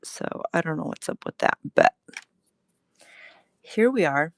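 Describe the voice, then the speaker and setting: Slow, gravelly-voiced woman crafter. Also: sits low, animated, no room sound, clean studio sound. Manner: chatty, greets viewers while demonstrating. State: recovering from illness, gravelly throat. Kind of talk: casual live crafting stream. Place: home crafting space.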